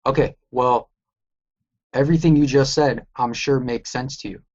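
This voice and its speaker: A man's voice: mid-range voice, steady tone, moderate pace, a little mellow, dull audio. A man in a heated debate, combative and repeating his challenges.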